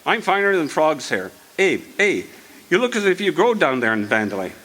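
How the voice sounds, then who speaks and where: Man playing animated high-voiced country storekeeper. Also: low-quality recording. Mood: folksy, curious. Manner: rustic, drawn-out, homespun. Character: folksy, nosy small-town storekeeper, male, friendly. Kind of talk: radio drama acting.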